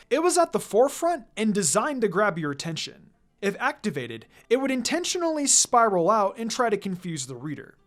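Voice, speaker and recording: A narrator reading a fan fiction story aloud in an expressive, scripted storytelling style. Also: mid-range voice. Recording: music behind the voice. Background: background music.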